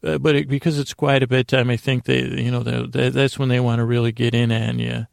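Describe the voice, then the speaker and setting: A man hosting a bedtime podcast, rambling in a gravelly, creaky voice with halting, stammered repetitions and a self-deprecating manner. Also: medium pitch, level tone, dry recording, studio quality. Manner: meandering, halting, stammering with repeated words. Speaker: podcast host.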